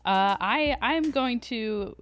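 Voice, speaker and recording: A woman's voice: a little high, expressive, slow, no room sound, faint music behind. A woman from Long Island co-hosting a podcast in casual, informal conversation. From Long Island, New York, USA. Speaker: podcast co-host.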